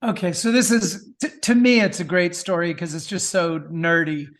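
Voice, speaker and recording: A man's voice: sits high, steady tone, normal speed. A middle-aged man speaking casually as an interview guest, telling stories with chatty enthusiasm. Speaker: author and film producer, podcast guest. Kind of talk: casual interview talk about music.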